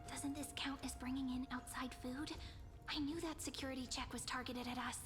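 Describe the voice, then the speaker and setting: An actress playing a sweet, perceptive young girl companion in a fantasy game, with gentle, courteous and slightly wry phrasing. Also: high voice, fairly even intonation, fairly quick, lightly acted. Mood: wry, polite. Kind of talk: scripted fantasy game voice acting. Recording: game music loud behind.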